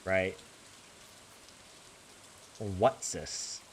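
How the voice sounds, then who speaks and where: A man's voice: deep voice, steady tone, drawn-out words, slight background noise. A young man with a general American accent chatting casually with his viewers while he plays a game, rambling and correcting himself as he goes. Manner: rambling, chatty, self-correcting, laughs often. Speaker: gaming streamer.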